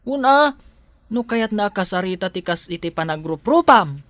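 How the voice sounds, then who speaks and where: A man's voice: high voice, animated, moderate pace, a bit bright, no echo. A man with an Ilocano accent playing a loyal, protective household helper in a radio drama, earnest and deferential. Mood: guarded, defiant.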